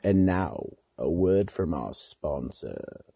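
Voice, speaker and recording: A male radio mystery narrator with an extremely husky, dark voice. He is dramatic and deliberate, swinging his pitch for ominous effect, recorded close and dry. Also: very animated, no echo. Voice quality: raspy, gravelly. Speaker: narrator and announcer.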